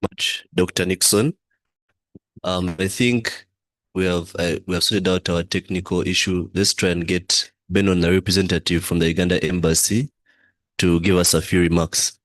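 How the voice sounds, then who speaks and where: Very deep-voiced man moderating online. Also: moderate pace, no room sound. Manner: calm, handing over between speakers. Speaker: event moderator.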